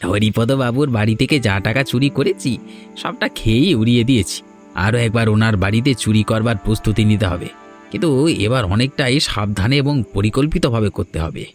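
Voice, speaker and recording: A man's voice: fairly low pitch, brisk, faint music behind, lightly acted. A man voicing a sly, scheming young thief in a cartoon. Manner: scheming, flustered when caught. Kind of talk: Bengali cartoon dubbing.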